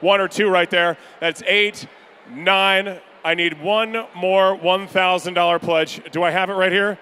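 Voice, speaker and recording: Man, charity gala auctioneer, high voice, clear, energetic crowd banter. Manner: energetic, rapid-fire, upbeat crowd banter. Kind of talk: live fundraising pledge calling. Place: large banquet room at a gala.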